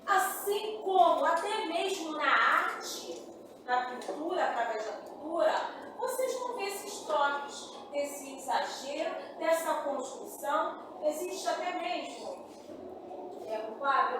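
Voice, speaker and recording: A woman's voice: high voice, lively intonation, a little slow. A woman with a Brazilian accent lecturing a class as a teacher, explaining things informally and with emphasis. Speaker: literature and writing teacher. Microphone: distant from speaker. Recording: echoey room, distant mic, noisy.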